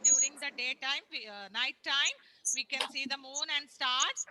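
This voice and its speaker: A high-voiced woman with an Indian English accent teaches young children over a video call. She talks slowly and keeps repeating points and asking questions. Her voice is very bright and sits close and dry on the mic. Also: no room sound. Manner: slow, repetitive explaining with questions to children. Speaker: primary school teacher. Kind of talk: online class lesson. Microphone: laptop or phone on a video call.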